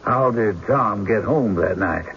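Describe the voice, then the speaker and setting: Gravelly prosecutor, official and probing, muffled old radio play. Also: male voice, on the low side, slow pace, slight background noise. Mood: formal, probing. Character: formal, probing courtroom prosecutor. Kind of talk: 1970s radio drama acting.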